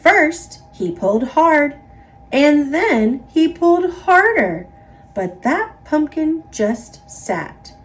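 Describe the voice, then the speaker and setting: A woman with an American accent narrating a children's picture book in an expressive, emphatic read-aloud style. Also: sits high, slow, low-quality recording. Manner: expressive, emphatic, repetitive build-ups. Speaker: storybook narrator.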